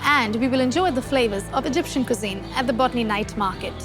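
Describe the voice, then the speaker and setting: A woman's voice: a little high, fast talker. A woman presenting a TV magazine show, reading scripted narration. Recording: background music under voice.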